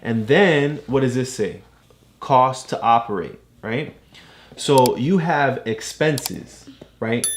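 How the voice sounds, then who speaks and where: A woman casually explaining things to a child as a tutor, encouraging and often checking understanding with questions. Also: very deep voice. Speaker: parent tutor guiding a child's reading.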